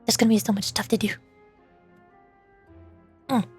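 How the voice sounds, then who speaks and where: A woman's voice: fairly high pitch, level tone, moderate pace, husky, faint music behind. A woman livestreamer chatting casually, rambling and often interrupting herself, her voice starting to tire. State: voice starting to get worn out. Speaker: VTuber livestreamer.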